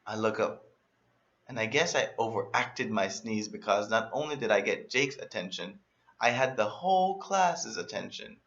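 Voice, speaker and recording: A man reading a children's story aloud in an animated, expressive way, as a storyteller. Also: lowish voice, normal speed, muffled recording. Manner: expressive, acts out emphasis and exclamations.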